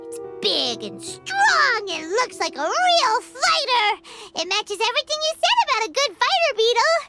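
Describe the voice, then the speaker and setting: A woman voicing a tiny, cheeky female fairy companion in a video game, with a squeaky voice and a habit of naming herself in the third person.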